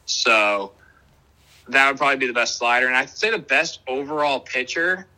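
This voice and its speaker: Bright-voiced young man chatting. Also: mid-range voice, moderate pace, low-quality recording. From Boston area. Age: young adult. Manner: relaxed, rambling, many fillers and restarts. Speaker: minor league baseball player, guest. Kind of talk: casual interview answers. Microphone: video call.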